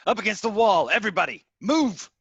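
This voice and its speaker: A man playing a loud, clownish cop henchman in a table read, hammy and exaggerated, on a lo-fi recording. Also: high-pitched, very animated, a little fast, vocal fry, very noisy, heavily acted. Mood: barking orders.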